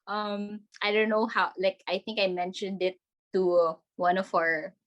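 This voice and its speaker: A woman's voice: medium pitch, flat delivery, measured pace, muffled recording. A young woman from the Philippines, a podcast guest, chatting in a bubbly way with frequent laughs and many "like"s over a laptop video call from her bedroom. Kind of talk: casual video-call interview.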